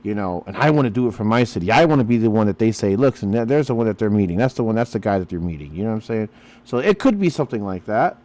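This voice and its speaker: A man's voice: fairly low pitch, animated, quick pace, a little mellow. Rambling man hosting a radio chat. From Pennsylvania. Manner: rambling, preachy, lots of fillers. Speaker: radio host. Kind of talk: casual interview chat.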